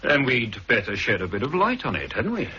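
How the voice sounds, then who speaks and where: A man playing a brisk, cool-headed government agent in a 1960s radio drama, speaking with crisp, dry understatement. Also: medium pitch, huge pitch swings, pushed and bright. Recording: dull archive radio recording with faint hiss.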